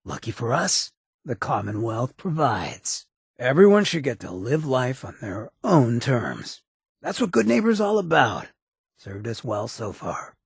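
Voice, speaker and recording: A man playing a roguish, irreverent ghoul mayor in a video game, with a gravelly rasp and a sardonic, streetwise drawl. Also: medium pitch, sing-song, a little slow, dry recording, clean studio sound, exaggerated voice. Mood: swaggering, righteous. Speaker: video game companion character. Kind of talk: video game companion dialogue, wry asides.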